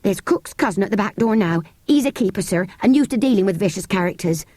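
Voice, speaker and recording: A woman's voice: mid-range voice, expressive, quick pace, mellow, no room sound, studio quality, natural voice. A woman voicing a wary, dutiful male country servant in an audiobook, firm and rustic in speech. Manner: firm, countrified turns of phrase. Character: wary rustic English manservant, dutiful adult man.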